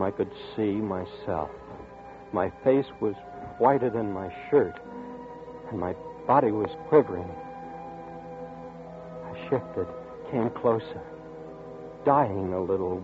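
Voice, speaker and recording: A man's voice: on the low side, measured pace, long pauses, natural voice. An actor playing a nervous man who narrates, in tense, uneasy 1940s radio acting. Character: nervous young man haunted by déjà vu. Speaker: narrator and lead character. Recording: tinny old radio broadcast with music.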